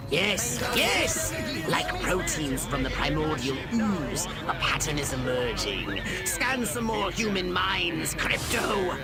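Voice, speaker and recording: A man's voice: a little high, big pitch swings, slow, hardly any pauses, over loud music, heavily acted. A man voicing a scheming, condescending alien commander in a video game, with pompous, theatrical delivery. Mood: excited. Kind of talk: video game cutscene acting.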